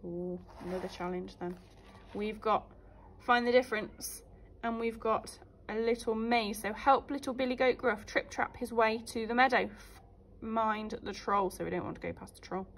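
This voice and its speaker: A woman teacher reading a story aloud for young children in an expressive, emphatic way. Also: a little high, normal speed, a little hiss or hum. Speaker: primary school teacher.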